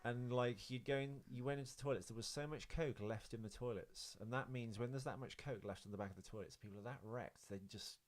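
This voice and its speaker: A man's voice: medium pitch, quick pace, hardly any pauses. A man co-hosting a podcast, chatting casually about films and celebrities in a rambling, sweary way. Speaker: podcast co-host.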